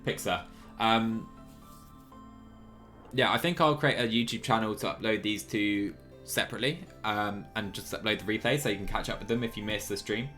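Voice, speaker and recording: Low-voiced man streaming over music. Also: fairly bright tone. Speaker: live streamer playing a cycling management game. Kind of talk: casual live-stream chat and game commentary. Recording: music playing behind the voice. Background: background music.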